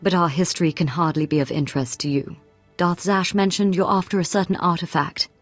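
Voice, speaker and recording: Low voiced young noble lady voiced by an actress, composed n formal, dry recording, video game. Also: steady tone, fairly quick, dry recording, faint music behind, natural voice. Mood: welcoming, composed. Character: young noblewoman house leader, poised and diplomatic. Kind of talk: scripted video game dialogue.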